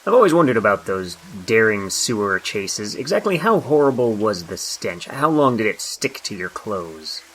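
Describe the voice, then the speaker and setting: A man's voice: lowish voice, very animated, moderate pace, few pauses, fairly bright tone, poor audio. A man voicing a skeptical police detective with a dry, sardonic manner, in an audio drama.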